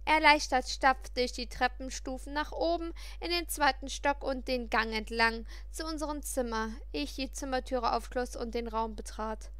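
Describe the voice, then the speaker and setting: A woman reading a first-person story aloud in an expressive, dramatic way. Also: sits high, moderate pace, hardly any pauses, clear, resonant tone, no echo. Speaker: audiobook reader.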